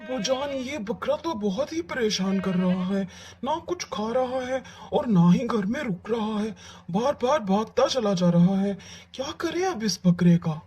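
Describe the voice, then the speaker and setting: Man acting fretful cartoon family man, high voice, soft dark tone, grumbling. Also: lively intonation, measured pace, few pauses. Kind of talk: cartoon story dialogue.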